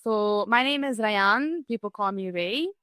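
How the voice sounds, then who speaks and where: Bright, clear-voiced woman telling her story. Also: mid-range voice, expressive, unhurried, dry recording, studio quality. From Lebanese, grew up in Zimbabwe and the Gambia. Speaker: podcast guest, holistic wellness practitioner. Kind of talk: conversational personal storytelling.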